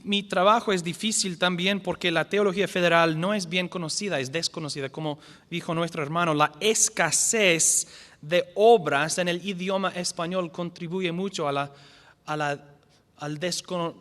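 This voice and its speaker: High-voiced non-native pastor in a reverberant hall. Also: male voice, a bit bright. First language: not the language spoken. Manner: earnest, restarts words, repeats key definitions slowly. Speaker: pastor teaching a lesson series. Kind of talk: church lecture. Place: church hall.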